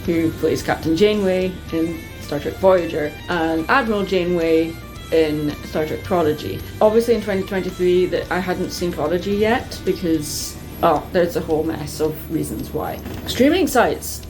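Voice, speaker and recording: Woman, slightly low voice, casual vlog storytelling, chatty and expressive, fannish enthusiasm, music playing behind. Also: normal speed, low-quality recording. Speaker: vlogger. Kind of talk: casual personal storytelling to viewers.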